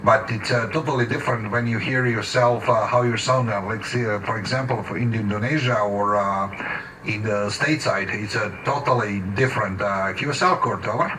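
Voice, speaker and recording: A man chatting haltingly as an amateur radio operator in his home shack. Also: on the low side, steady tone. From Slovenia. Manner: halting, hesitant phrasing. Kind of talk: casual radio chat. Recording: distant mic, faint shack noise.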